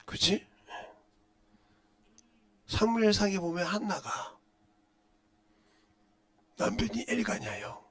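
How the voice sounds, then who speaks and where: A middle-aged man preaching as a pastor in a blunt, scolding manner, firing rhetorical questions and swinging between muttered asides and loud outbursts. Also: sing-song, slow, long pauses. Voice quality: loud, booming voice. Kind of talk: impassioned Korean-language sermon with rhetorical questions.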